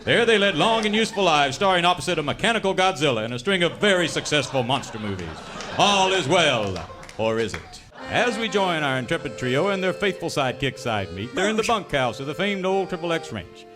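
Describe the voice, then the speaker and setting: A man's voice: on the high side, sing-song, brisk. A man playing a grandiose announcer, narrating an old-time cowboy radio serial parody with mock melodrama. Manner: grandiose, dramatic. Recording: music behind the narration.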